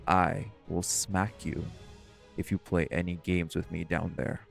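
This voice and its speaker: A man's voice: low-pitched, flat delivery, unhurried, gravelly, faint music behind, full character voice. A man playing a stern, protective shifter who leads a dive in an audio roleplay, speaking seriously and cautiously. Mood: exasperated. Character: stern, protective male marine shifter, bossy diving leader. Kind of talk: scripted audio roleplay acting.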